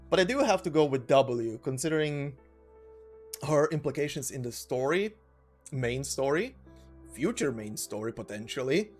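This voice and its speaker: A man narrating a gaming video in an informal way, moving between casual commentary and reading lore text aloud. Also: mid-range voice. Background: background music.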